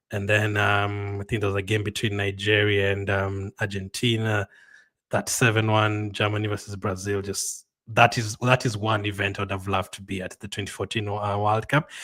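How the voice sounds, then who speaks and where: Bright, deep-voiced man chatting on stream. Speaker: livestream host. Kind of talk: casual chat, reading and answering viewer comments.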